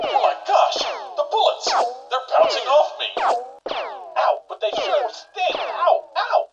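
High, excitable, bumbling superhero. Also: male voice, takes it slowly, vocal fry, far from the mic, loud music behind the voice, exaggerated voice. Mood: pained, alarmed. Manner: exclaiming, wide-eyed, corny old-fashioned earnestness. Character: excitable, squeaky-clean, bumbling man turned superhero. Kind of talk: comic-book superhero radio play acting. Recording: dull lo-fi, off-mic radio-style recording.

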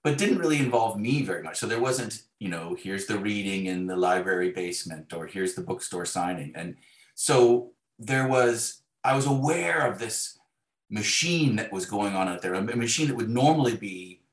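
A man's voice: lowish voice, animated, normal speed. A man speaking as an author in a casual, reflective interview, with a wry, self-deprecating manner. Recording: echoey room.

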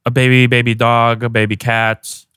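A man's voice: mid-range voice, little pitch movement, normal speed, bright, forceful tone, smooth, no echo, clean studio sound. Teasing male podcast co-host. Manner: teasing, sarcastic ribbing. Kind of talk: casual podcast chat.

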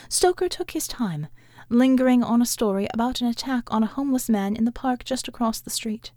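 A woman reading literary fiction as an audiobook narrator, in a measured, articulate storytelling delivery. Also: on the high side, darkish tone, no room sound, clean studio sound.

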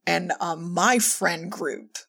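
A woman's voice: on the low side, slow, creaky, a bit bright. A woman speaking casually in an interview as an audio drama director.

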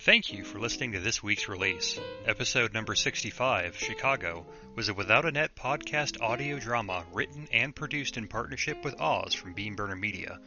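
A man's voice: fairly low pitch, expressive, a little fast, hardly any pauses. A man announcing podcast credits in a scripted reading. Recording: slightly dull recording over guitar music. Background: guitar music.

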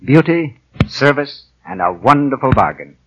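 Animated, husky 1940s radio announcer man. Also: mid-range voice, unhurried, low-quality recording. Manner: polished, salesmanlike. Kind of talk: 1940s radio advertisement reading. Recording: 1940 radio broadcast.

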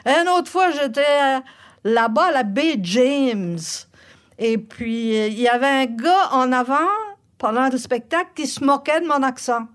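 A woman's voice: high-pitched, a little slow. An older woman with an Acadian French accent, a singer-songwriter telling stories in an interview, laughing often. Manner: lively storyteller, laughs often.

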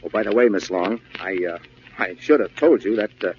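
Earnest boss with animated pitch. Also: male voice, fairly quick. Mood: awkward, friendly. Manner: encouraging, polite, somewhat stammering. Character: earnest, kindly, slightly awkward male businessman boss. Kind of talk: 1940s radio comedy acting. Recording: muffled old radio broadcast with hiss.

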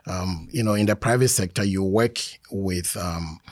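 A man's voice: low-pitched, moderate pace, dry recording, studio quality. A man from Ghana, a civil engineer, describing his path in an earnest, upbeat way with some fillers. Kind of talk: casual interview chat.

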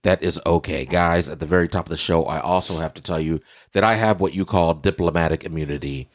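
Deep-voiced raspy man hosting a podcast. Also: fast talker. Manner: animated storytelling with repetitions. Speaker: podcast host. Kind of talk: casual podcast chat.

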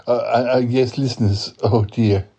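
A man's voice: medium pitch, steady tone, normal speed, over music, natural voice. A man playing a flustered, polite podcast host, hesitant and apologetic, stammering through a whimsical audio story.

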